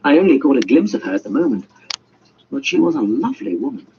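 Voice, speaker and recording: A man's voice: medium pitch, big pitch swings, fast talker. A man reading a story as a theatrical audiobook narrator in a dramatic, scripted style. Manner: theatrical, voices dialogue. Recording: muffled, noisy amateur audiobook recording.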